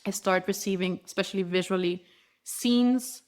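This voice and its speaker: A woman's voice: medium pitch, moderate pace. A woman whose first language is Spanish, a spiritual guide as a podcast guest, explaining her practice earnestly and flowingly, often restarting her phrases. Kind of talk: podcast interview.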